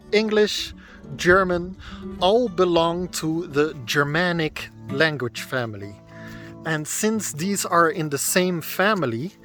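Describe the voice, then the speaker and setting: A man's voice: fairly high pitch, a bit bright. A man in his thirties from the Netherlands with a Dutch accent, talking casually to camera outdoors in the woods and explaining his thoughts as he goes. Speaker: vlogger.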